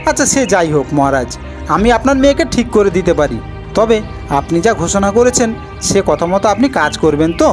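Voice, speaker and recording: A man's voice: sits high, sing-song, fairly quick, music in the background. A man voicing a plucky, crafty young woodcutter's son in a cartoon fairy tale, exclaiming and repeating his words eagerly. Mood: pleading.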